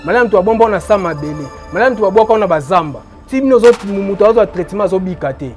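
A man from Boma in Congo, a traditional healer, explaining his remedies in an interview, repeating phrases and trailing off low. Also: expressive, fast, soft background music. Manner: repetitive, emphatic, trailing off low.

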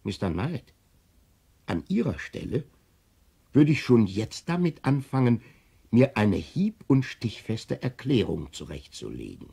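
Husky, officious tax assessor. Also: male voice, on the low side, big pitch swings, measured pace, warm tone, full character voice. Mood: insinuating. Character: officious, smug, probing male tax assessor. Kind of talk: 1970s German radio play acting.

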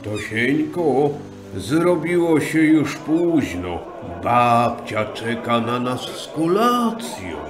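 Slow kindly old grandpa played by a man. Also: medium pitch, sing-song, reverberant, music in the background. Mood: kindly, coaxing. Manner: gentle, coaxing. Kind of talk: audio drama acting.

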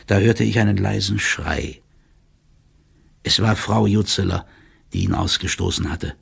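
An actor playing a stout official who reads out a stiff, drily ironic report in an audio drama, in a gravelly, husky, measured voice. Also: male voice, low voice, full character voice. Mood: uneasy, measured. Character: stout male official giving a stiff, wry written report.

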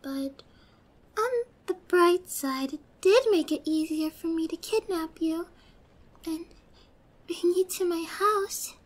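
A woman playing a young, obsessed, possessive girlfriend in an ASMR roleplay, sweet yet menacing, with a soft girlish voice and a coy, drawn-out delivery. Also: very high-pitched. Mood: sweet, infatuated. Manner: slow, coy, drawn-out, slipping into whispers.

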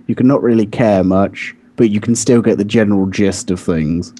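A man's voice: fairly low pitch, steady tone, normal speed, dark tone. A man co-hosting a podcast in a casual debate about video games, insistent and contrarian in how he talks. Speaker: podcast co-host.

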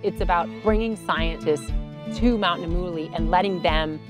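A woman's voice: lowish voice, normal speed, music in the background. A woman in her thirties, an expedition member, speaking fluently and enthusiastically in a documentary interview outdoors on a mountainside.

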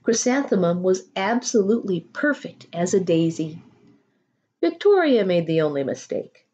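A middle-aged woman reading a children's picture book aloud expressively, with deliberate emphasis. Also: on the low side, dark tone, muffled recording. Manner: deliberate, drawn-out emphasis, pausing to ask listeners questions. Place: small home room, indoors.

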